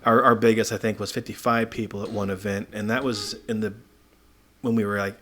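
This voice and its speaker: A man's voice: fairly low pitch, little pitch movement, brisk, fairly bright tone. A man hosting a podcast, chatting casually as he interviews.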